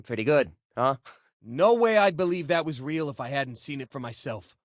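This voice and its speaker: Game companion: easygoing young male miner played by a man, joking, slangy, animated delivery, dry recording. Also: medium pitch, normal speed, no echo, natural voice. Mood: laid-back. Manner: casual, wisecracking, drawling slang. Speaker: voice actor for a video game companion. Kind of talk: video game voice lines, conversational.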